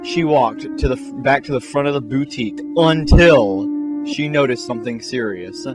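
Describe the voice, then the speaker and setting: A man's voice: medium pitch, normal speed. Theatrical male storyteller over music. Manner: theatrical, mock-serious. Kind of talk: comic dramatic fan-fiction narration. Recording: music behind the voice.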